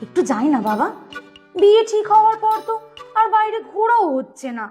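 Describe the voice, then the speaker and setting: Girlish, very high cartoon young bride, acted by a woman. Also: sing-song, a little fast, dark tone, soft background music. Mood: pleading. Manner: coaxing, girlish. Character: sweet, pleading young bride-to-be daughter. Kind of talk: cartoon voice acting.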